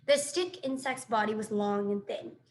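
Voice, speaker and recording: A woman reading a children's book aloud in an expressive, animated storytelling manner. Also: medium pitch, normal speed, a little hiss or hum. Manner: animated, dramatic sound effects and asides.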